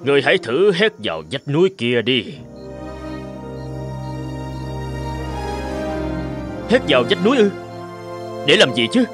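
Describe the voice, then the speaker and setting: A man's voice: on the high side, a little fast, over music. A man voicing a pompous, impatient king who fires off demanding questions. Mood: incredulous. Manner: brusque, questioning, raised and lively. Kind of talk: cartoon voice acting.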